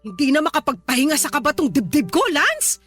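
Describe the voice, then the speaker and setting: An exasperated young mother in a radio drama. Her voice is extremely high and piercingly bright, scolding and sarcastic, with music playing underneath. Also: very animated, fairly quick. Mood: angry, exasperated. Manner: nagging, sarcastic. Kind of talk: Tagalog radio drama acting. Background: background music.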